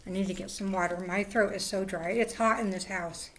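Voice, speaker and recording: Woman doing a crafting stream at home, voice kinda hoarse, rambly, drops to soft murmurs, bit of faint hiss behind. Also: medium pitch, fairly even intonation, a little fast. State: hoarse, lost voice. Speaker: craft livestream host. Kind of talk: casual crafting chat. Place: at home indoors.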